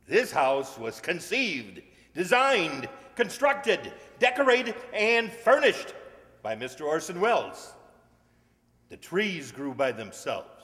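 Man acting a slow, declamatory tour bus driver. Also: on the high side, big pitch swings, fairly bright tone, full character voice. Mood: showy, announcing. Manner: declamatory, deliberate. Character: male tour bus driver, showy announcing patter. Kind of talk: old-time radio comedy acting, read from script. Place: stage in a large hall. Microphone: stand microphone. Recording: echoey hall stage performance.

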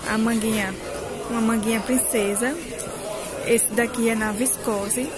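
A woman's voice: on the high side, steady tone, unhurried. A woman filming a walking price tour of clothes in a busy indoor market, talking to her viewers in a chatty, upbeat way. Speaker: shopping vlogger. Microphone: handheld phone camera. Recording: loud market crowd and music. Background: loud music and crowd chatter.

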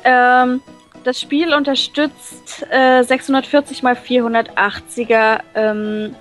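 A woman's voice: sits high, level tone, slow, fairly bright tone, soft background music. A woman reading game text in English and then paraphrasing it in German, with many hesitations and fillers. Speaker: reader translating a visual novel. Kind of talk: casual reading and translating with friends.

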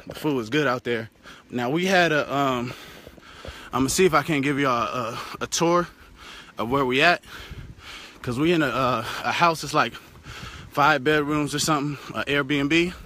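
A man's voice: fairly high pitch, sharp tone, a little hiss or hum. Young American man vlogging from a bike. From United States. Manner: loose, chatty, swears casually. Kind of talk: casual vlog while cycling. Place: outdoors on a rural road. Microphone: phone held at arm's length. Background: passing cars.

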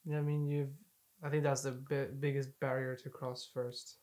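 A man's voice: medium pitch, flat delivery, moderate pace, a little mellow. Young man in casual chat. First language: Swedish. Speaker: vlogger. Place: living room, indoors.

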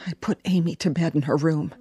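An actress playing an anxious farm wife in a radio drama, speaking carefully and with forced, repetitive pleasantness. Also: female voice, low voice, fairly even intonation, fairly quick, dark tone, close to an everyday voice. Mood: worried, subdued.

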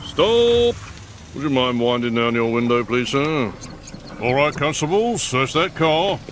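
A man playing a brisk police sergeant. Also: mid-range voice, lively intonation, close to an everyday voice. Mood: officious. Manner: officious, polite commands.

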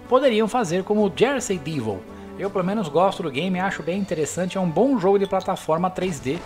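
A man with a Brazilian accent giving casual commentary as the host of a gameplay video. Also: high voice. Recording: game music behind the voice. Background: video game music.

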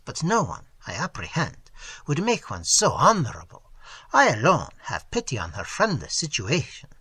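A reader voicing a vain, self-important French artist man with a French accent, lecturing in a husky voice. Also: mid-range voice, fairly bright tone, no echo, pitched above the performer's own voice, full character voice.

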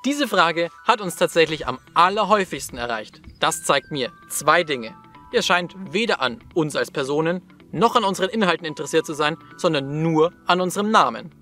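A man's voice: fairly high pitch, animated, normal speed, bright, forceful tone, soft background music. A man of 27 presenting scripted lines to camera in a wry, ironic way. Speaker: video presenter; teacher.

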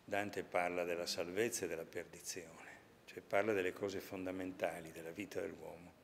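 An older man explains things in an interview, speaking in measured, halting short phrases. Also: low voice, little pitch movement, creaky. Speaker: exhibition spokesman. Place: large museum sculpture gallery, indoors. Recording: reverberant gallery hall, audible background noise.

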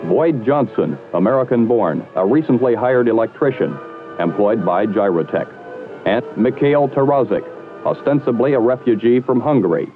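A man reading scripted narration for a 1960s training film in a formal, measured, slightly wry manner. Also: medium pitch, expressive, music in the background. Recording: muffled old 1960s film soundtrack.

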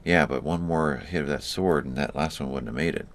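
Very deep, gravelly male game host. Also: a little fast. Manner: coaching, explaining tactics. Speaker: let's play host. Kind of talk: casual gameplay commentary. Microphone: desktop USB mic.

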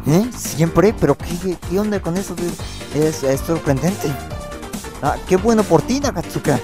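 Man acting introspective schoolboy, hesitant, awkward, manga fandub, clear guitar music underneath. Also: fairly high pitch, animated, a little slow. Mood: surprised. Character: reflective, awkward teenage schoolboy protagonist. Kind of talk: amateur manga fandub narration and dialogue.

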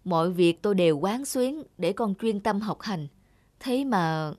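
A woman's voice: medium pitch, expressive, a little slow, dry recording. A fussing, overprotective cartoon mother. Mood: hesitant, remorseful. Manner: fussing, scolding. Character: anxious, overprotective, doting mother of a sickly son. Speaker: cartoon voice actor. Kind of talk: animated moral-tale dubbing. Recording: loud background music. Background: music.

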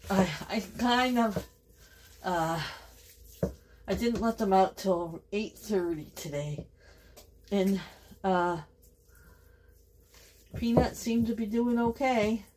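Slow rambling Canadian woman in kitchen. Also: mid-range voice, slight background noise, low-quality recording. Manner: relaxed, rambling, talks to viewer while cooking. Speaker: home cooking vlogger. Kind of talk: chatty kitchen vlog narration. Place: home kitchen. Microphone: camera on counter.

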